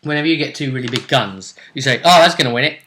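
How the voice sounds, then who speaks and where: Male host, bright forward voice, informal and wry, casual recap chat about a fantasy game. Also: mid-range voice, lively intonation, fast talker, slight background noise. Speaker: host of a tabletop role-play series.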